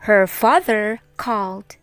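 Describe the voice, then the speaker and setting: A woman teacher narrating a children's storybook aloud in a deliberate, expressive way. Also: medium pitch, clean tone, no room sound, faint music behind. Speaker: teacher reading stories aloud.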